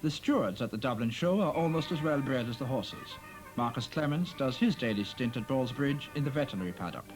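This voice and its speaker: A man's voice: medium pitch, expressive, fairly quick, poor audio. Wry male documentary narrator over music. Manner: dry, wry, polished delivery. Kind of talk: scripted narration.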